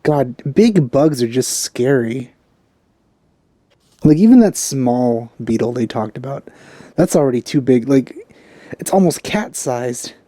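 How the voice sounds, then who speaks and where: A man comments casually on a game as he plays. His voice is very dark and relaxed at an ordinary male pitch. The asides are low-key and dry, on a close mic with no room echo. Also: slow, pauses often. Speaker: let's play streamer.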